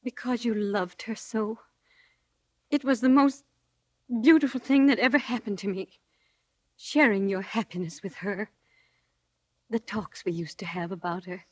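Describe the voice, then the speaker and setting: A woman's voice: medium pitch, steady tone, unhurried, long pauses, dry recording, close to an everyday voice. An actress playing an anxious, devoted woman who looks after a lodger, earnest and urgent, in 1940s radio drama style. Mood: hurt, tender.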